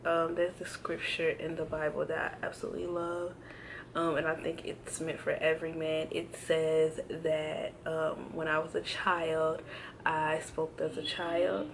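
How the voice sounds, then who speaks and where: Slow, easygoing young woman vlogger. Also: low voice, vocal fry, slight background noise. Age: 20s. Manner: relaxed, hesitant, many ums and restarts. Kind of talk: casual tag video chat. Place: indoors at home, in front of a backdrop. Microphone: camera framed close, seated.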